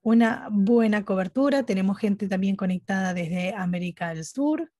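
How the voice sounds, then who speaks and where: A woman giving live interpretation at a webinar, speaking haltingly phrase by phrase. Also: medium pitch, mellow, dry recording, clean studio sound. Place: remote online conference audio channel.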